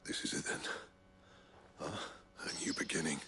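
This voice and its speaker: An actor playing a gruff, impatient male blacksmith who talks curtly. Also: moderate pace, close to an everyday voice. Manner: curt, brusque.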